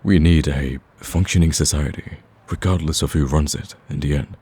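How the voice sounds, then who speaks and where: A man playing a smitten, cocky villain in a romantic ASMR roleplay, with a husky, very deep voice and a smooth-talking, teasing, pleading manner. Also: dark tone, dry recording, exaggerated voice. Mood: cocky persuading. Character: smitten male villain, cocky, persistent, wooing.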